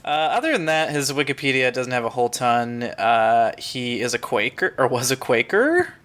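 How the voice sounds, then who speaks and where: A man's voice: mid-range voice, animated, moderate pace, fairly bright tone. A man hosting a podcast, talking casually and reading aloud. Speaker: podcast host. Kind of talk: casual chat and reading a short story aloud.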